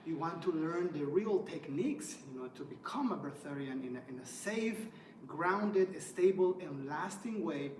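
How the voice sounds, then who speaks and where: A man born in Ecuador hosting a welcome talk, speaking with excited emphasis and plenty of fillers as he lists things one by one. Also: fairly high pitch. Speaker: host. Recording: echoey room, mic at a distance, noisy.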